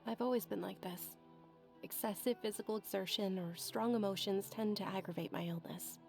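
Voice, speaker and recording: A woman's voice: mid-range voice, steady tone, a little fast, vocal fry, natural voice. A woman voicing a frail, sickly young woman. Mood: wistful. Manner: soft, quiet, resigned. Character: frail, sickly young noble girl, wistful. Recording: game audio with music behind.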